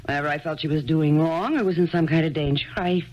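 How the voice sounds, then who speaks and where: A woman's voice: deep voice, steady tone, fast talker, a little mellow, close to an everyday voice. An actress in a 1970s radio drama playing a weary, practical single mother who answers in an offhand, guarded way. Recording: dull lo-fi archival radio broadcast, faint hiss.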